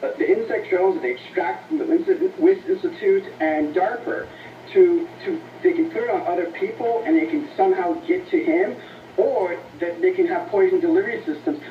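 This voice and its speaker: A man answering as an interview guest, earnest and somewhat halting, heard through radio playback. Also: high-pitched, big pitch swings, far from the mic, a little hiss or hum. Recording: dull, roomy radio playback from off mic.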